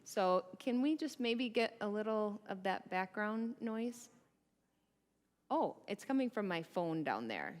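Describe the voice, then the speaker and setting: A woman's voice: medium pitch, very animated, a little slow. Woman pastor in a reverberant church. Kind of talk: hosting a livestreamed church forum. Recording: long hall reverb.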